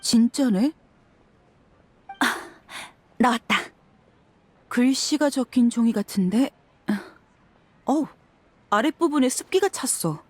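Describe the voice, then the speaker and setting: A woman's voice: fairly high pitch, lively intonation, slow, long pauses, a little mellow, dry recording. A woman voicing a frank young desert mercenary, tough but caring, with a casual manner in a dramatic game scene. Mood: curious.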